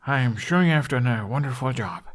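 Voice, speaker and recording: A man plays a modest young hero in a fantasy audiobook. He speaks politely and kindly, a little awkwardly, with the pitch raised above his narrating voice. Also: animated, brisk, natural voice. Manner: gentle, slightly awkward. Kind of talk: fan audiobook character voice.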